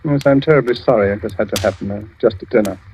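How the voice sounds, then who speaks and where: An actor playing a cool, courteous titled gentleman host in an old radio drama, polite and composed. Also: fairly low pitch, close to an everyday voice. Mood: cool, feigned concern. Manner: polite, composed, measured, understated. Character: cool, courteous English knight hosting a dinner. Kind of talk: 1940s radio acting. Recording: noisy, dull old radio recording with clicks.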